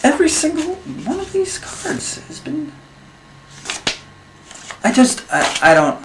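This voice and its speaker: A man playing a reluctant comedy-show presenter, halting and exasperated as he reads out grim facts. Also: very high-pitched, wildly swooping pitch, a bit bright, heavily acted. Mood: exasperated, baffled. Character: reluctant, exasperated male presenter reading grim fact cards. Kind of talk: comedy sketch hosting. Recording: roomy, echoing sound with faint noise.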